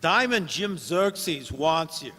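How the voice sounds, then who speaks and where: An actor playing a nervous, slow-witted gangster goon in a radio play, hesitant and slangy. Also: male voice, fairly high pitch, big pitch swings, pushed and bright, exaggerated voice. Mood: coaxing. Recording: noisy hall with long reverb.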